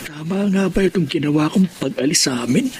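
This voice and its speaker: An actor playing a lonely, ailing, homesick young son in a Filipino radio drama, plaintive and Filipino-accented. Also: male voice, fairly high pitch, animated, fairly quick, close to an everyday voice. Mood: wistful, lonely. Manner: plaintive, self-pitying.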